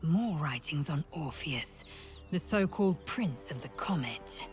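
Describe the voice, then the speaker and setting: A woman's voice: low-pitched, lively intonation, measured pace, soft background music. An actress playing a proud, fierce alien warrior woman in a video game, speaking formally with haughty, clipped menace. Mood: grave, reverent. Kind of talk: dramatic video game acting.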